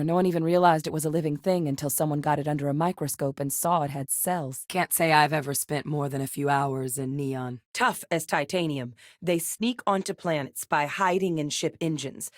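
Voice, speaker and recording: A battle-hardened female veteran turned scientist from a sci-fi video game, acted by a woman. Her voice sits a little low and is captured close and dry. She talks in a clipped, no-nonsense way, matter-of-fact and used to giving orders. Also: dry recording, close to an everyday voice. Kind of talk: scripted video game character dialogue.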